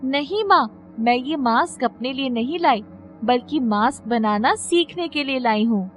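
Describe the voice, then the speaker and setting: A woman's voice: sits high, lively intonation, a little slow, pitched above the performer's own voice. A woman voicing an earnest, hopeful teenage daughter in a storybook tale, eagerly explaining herself. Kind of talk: storybook character voice.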